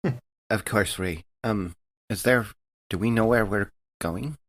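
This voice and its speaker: A man's voice: on the low side, a little slow, a bit bright, no echo. A man playing a tabletop roleplaying game, casually describing his character's actions and rolls.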